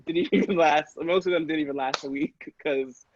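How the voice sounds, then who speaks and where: Higher-voiced man chatting loosely. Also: moderate pace, a little hiss or hum. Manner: rambling, peppers talk with "like". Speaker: podcast cast member. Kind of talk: casual chat. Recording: slightly muffled, lo-fi audio.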